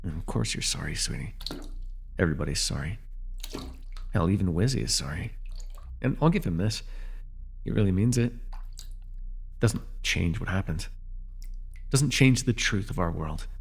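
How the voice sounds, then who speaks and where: A man's voice: deep voice, lively intonation, gravelly, a little hiss or hum. A man playing a bitter, commanding telepathic villain who was once a hero, speaking with sardonic deliberation in an ASMR superhero roleplay. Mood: bitter, hurt. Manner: sardonic, deliberate, addressing a captive.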